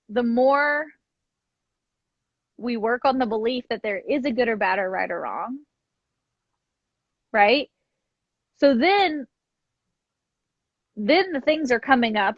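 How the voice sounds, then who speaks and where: Higher-voiced woman in reflective, casual talk. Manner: tentative phrasing with frequent "like" and "right?" check-ins. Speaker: podcast co-host discussing self-study. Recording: muffled lo-fi sound, roomy echo.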